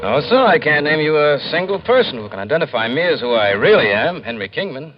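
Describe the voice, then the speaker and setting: An actor playing a terse, self-assured young insurance claimant in a 1950s radio drama. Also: male voice, fairly high pitch, sing-song, brisk. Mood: brisk, assured. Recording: muffled old radio recording, faint noise.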